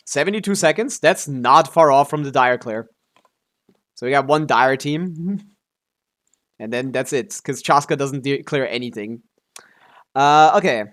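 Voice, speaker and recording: A man's voice: a little high, fairly bright tone. Man musing casually as he streams a game. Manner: muses aloud, thinking through options, mild swearing.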